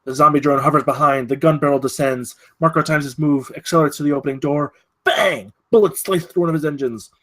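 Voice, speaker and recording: A man's voice: fairly high pitch, steady tone, fast, fairly bright tone. A man reading stage directions at a screenplay table read, in a dramatic, clipped way.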